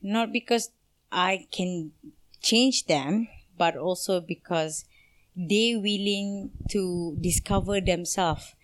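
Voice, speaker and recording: A Malaysian woman, a personal trainer, answering interview questions in an energetic, strong voice, mixing Malay and English. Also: mid-range voice.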